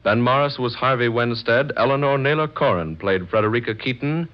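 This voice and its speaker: Male radio announcer, mid pitch, formal, measured, scripted old-time delivery, dull recording.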